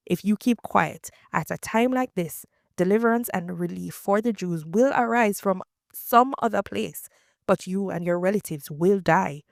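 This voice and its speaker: Woman reader as a stern, urgent elder man; low and gravelly, dry close sound. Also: a little mellow, no echo. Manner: warning, urgent quoted speech.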